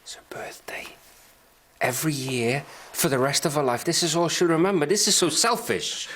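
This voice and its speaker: A man's voice: a little high, expressive, normal speed, fairly bright tone, a little hiss or hum. An actor playing an indignant, accusing man in a confrontational drama scene. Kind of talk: serious drama acting.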